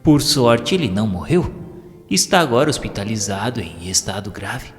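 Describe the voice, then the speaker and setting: A man's voice: lowish voice, expressive, normal speed, natural voice. A Brazilian man voicing a worried young male farmer, earnest and pleading, in an audiobook. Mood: frightened, earnest. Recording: long reverb with music behind.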